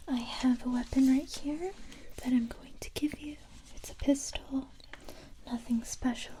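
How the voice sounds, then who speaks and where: A woman playing a calm, confident special-forces police recruiter in a soft-spoken ASMR roleplay, whispering breathily in a gentle, unhurried, matter-of-fact way. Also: sits high. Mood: calm, businesslike.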